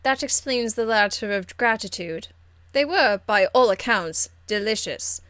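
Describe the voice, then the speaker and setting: A woman voicing a haughty, aristocratic lady mage from a fantasy game, icily polite, measured and condescending. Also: on the high side, expressive, a bit bright, no room sound. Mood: lofty amusement. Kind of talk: video game character acting.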